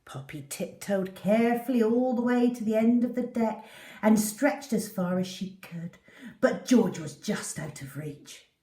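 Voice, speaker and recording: A woman reading a children's storybook aloud in an animated, dramatic way. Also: mid-range voice, measured pace, recorded from a distance. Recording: echoey room.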